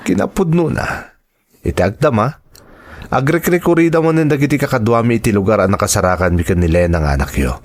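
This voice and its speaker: An actor with an Ilocano accent playing a steady, reassuring law officer in a radio drama, speaking formally and with measure. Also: male voice, medium pitch, fast, close to an everyday voice. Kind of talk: Filipino radio drama acting.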